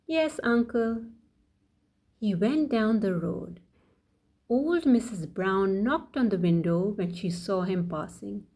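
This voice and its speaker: Slow-paced woman reading to children. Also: mid-range voice, lively intonation, mellow. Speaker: children's storyteller. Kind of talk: scripted story read aloud for young children, asking the listener questions.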